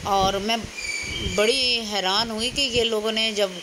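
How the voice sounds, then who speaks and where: A woman's voice: a little high, unhurried. A woman chattily narrating a travel vlog in voice-over, talking straight to her viewers. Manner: chatty, conversational, addressing viewers directly. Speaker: vlog host. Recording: clear steady background noise.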